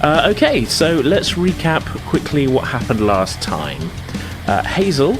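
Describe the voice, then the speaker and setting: A man's voice: on the high side, sing-song, measured pace, music in the background. A British man hosting and game-mastering a tabletop podcast, talking informally and circling around his phrases. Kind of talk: casual spoken recap and narration for a tabletop game.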